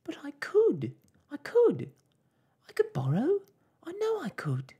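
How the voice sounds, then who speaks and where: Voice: medium pitch, huge pitch swings, slow, creaky, a little mellow, husky, pitched above the performer's own voice, heavily acted. A storyteller voicing a bold, eager young daughter in a children's storybook, earnest and pleading. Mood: insistent.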